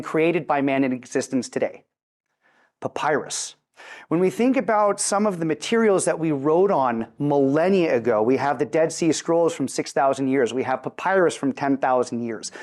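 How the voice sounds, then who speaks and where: Man, fairly high voice, articulate product presenter, explanatory interview style, rhetorical flourishes. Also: a little mellow. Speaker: company representative presenting a product.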